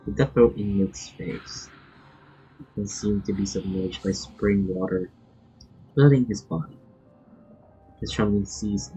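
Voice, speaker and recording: A man's voice: lowish voice, darkish tone, muffled recording. A man, live-streaming a game, chatting casually and reading text aloud. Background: faint game music.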